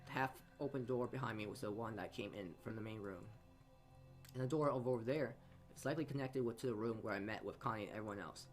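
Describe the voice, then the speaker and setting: A man's voice: on the low side, fast talker. A man narrating a visual novel aloud as a let's play host, often stumbling over words and re-reading them. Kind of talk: reading game text aloud with asides. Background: game music.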